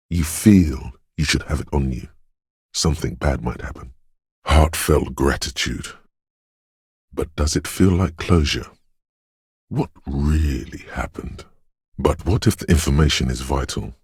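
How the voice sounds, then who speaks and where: A mystical inner voice in a video game, extremely low and very husky. It narrates with brooding solemnity, lingering dreamily over its words. The sound is close and dry. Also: male voice, darkish tone, no room sound, exaggerated voice. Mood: intrigued, mysterious. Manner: slow-savouring, solemn, dreamlike delivery. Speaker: game voice actor.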